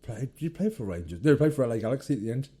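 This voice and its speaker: A man around thirty, co-hosting a casual podcast chat, loud and blunt, swearing freely. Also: mid-range voice, big pitch swings, rapid-fire, husky. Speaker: podcast co-host. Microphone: studio mic without headphones.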